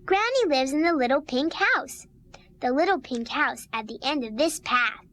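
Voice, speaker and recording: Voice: high voice, expressive, normal speed, pushed and bright, dry recording, faint music behind, exaggerated voice. A performer voicing a sweet, trusting, obedient little girl in a children's musical story, with a cute, earnest manner. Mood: trusting, cheerful.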